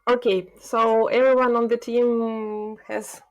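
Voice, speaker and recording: A woman from Ukraine, a product manager answering questions thoughtfully as a podcast guest, pausing often with filler sounds. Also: fairly high pitch, little pitch movement. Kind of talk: podcast interview.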